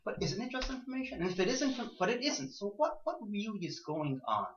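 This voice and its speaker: Animated man teaching in an echoey room. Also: high-pitched, fairly quick, slight background noise. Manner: rhetorical questions, repeats and rephrases himself. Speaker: teacher leading a class. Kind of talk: interactive lecture to a small class. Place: indoor room with a few students. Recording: echoey room, dull muffled sound.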